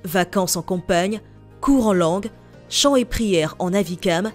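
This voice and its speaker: A woman from Côte d'Ivoire, reading a scripted TV news voice-over in an even, measured way. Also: lowish voice, faint music behind. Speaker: TV reporter.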